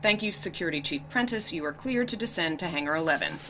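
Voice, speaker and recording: An actress playing a rigid, emotionless checkpoint examiner in an audio drama, officious, precise and formulaic. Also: female voice, fairly low pitch, animated, quick pace, over music. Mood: coldly official. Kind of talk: deadpan audio drama acting.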